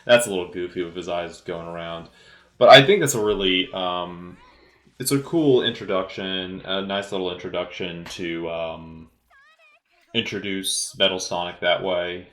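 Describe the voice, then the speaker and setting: A man giving casual, unscripted film commentary in a halting way, often repeating his words. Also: deep voice, fairly bright tone. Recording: roomy, echoey sound.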